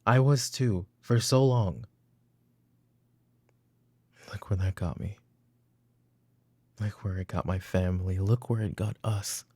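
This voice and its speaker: A man playing a weary, brooding middle-aged mafia boss and father in a roleplay audio drama, speaking in a halting, intimate way. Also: a little mellow, dry recording. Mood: weary, agitated. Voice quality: gravelly, low. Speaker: voice actor.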